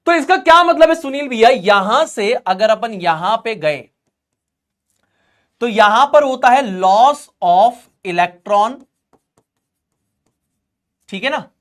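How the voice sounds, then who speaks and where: A young man teaching chemistry in a live class. He talks through each step in a relaxed, chatty way and keeps stopping to check that students are following along. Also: high-pitched. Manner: chatty, asks checking questions. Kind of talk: online class lecture.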